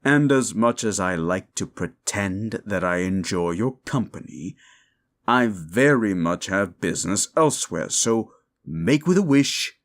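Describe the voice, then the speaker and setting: A man playing a cruel, sneering demon in an ASMR roleplay, sardonic and condescending, with a gravelly voice. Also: on the low side, lively intonation, measured pace. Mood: mocking, impatient. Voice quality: gravelly, creaky. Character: cruel, sneering male demon bargaining for wishes. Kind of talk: scripted ASMR roleplay addressed to the listener.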